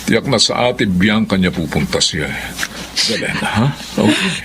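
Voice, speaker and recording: Deep, lively middle-aged Filipino father. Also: a little slow, slight background noise. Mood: reassuring. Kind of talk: Filipino radio drama acting.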